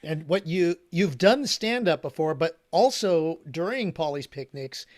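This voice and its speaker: A man co-hosting a virtual open mic night, encouraging the performers in a measured way. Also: on the high side, animated. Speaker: co-host.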